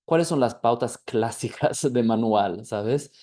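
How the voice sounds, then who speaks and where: A man with a Mexican accent hosting a podcast interview, speaking in a soft, reflective way. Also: mid-range voice, a little slow. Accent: Mexican Spanish. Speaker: podcast host.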